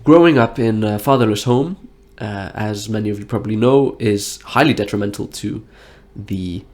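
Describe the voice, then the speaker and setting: A man's voice: lowish voice, moderate pace, a little mellow, soft background music. A nasal-sounding man with the flu and a stuffy nose, talking frankly and reflectively in a rambling personal monologue. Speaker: health vlogger.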